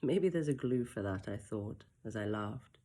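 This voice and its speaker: Soft-spoken woman reading her own story. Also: very deep voice, animated, moderate pace. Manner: soft, emotional and reflective, with brief self-mocking asides. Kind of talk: first-person storybook reading.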